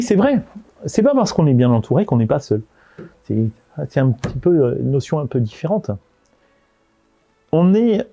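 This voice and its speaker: A man's voice: on the high side, dark tone, dry recording. A man giving informal interview answers as a local government executive, often hesitating and repeating words as he searches for phrasing.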